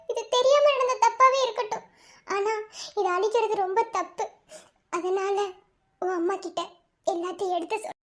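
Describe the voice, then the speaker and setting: Woman playing young female best friend, high voice, protective, earnest, reassuring, remorseful, audio melodrama.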